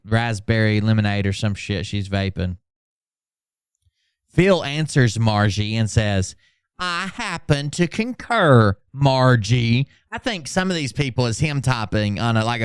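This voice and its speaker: A man's voice: fairly low pitch, clean tone, dry recording, clean studio sound. A man hosting a casual livestream commentary, talking in a sarcastic and profane way. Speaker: channel host doing mocking dramatic readings.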